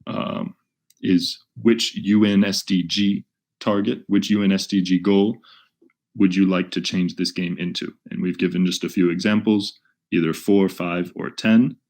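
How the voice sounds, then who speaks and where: A man facilitating a webinar, talking off the cuff in a measured, halting way as he explains and puts questions to participants. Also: sits low, little pitch movement, darkish tone. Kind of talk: unscripted webinar teaching, posing questions to participants.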